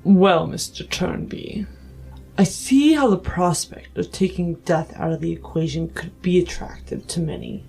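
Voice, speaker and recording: A player voicing a thoughtful, principled and cautious figure in a tabletop role-play, with a measured, formal, halting delivery. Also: medium pitch, sing-song, vocal fry, darkish tone, breathy edge, soft background music, heavily acted, poor audio. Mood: measured, firm. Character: thoughtful, principled, cautious voter facing a politician.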